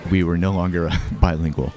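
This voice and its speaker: A man hosting a show, talking casually and reflectively over home movies, with fillers, restarts and soft laughs. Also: low-pitched, steady tone, brisk. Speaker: host.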